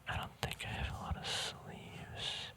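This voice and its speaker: A man speaking in a soft, breathy whisper, chatting casually and informally in a relaxed ASMR style as he handles cards. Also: unhurried. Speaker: ASMR card game vlogger.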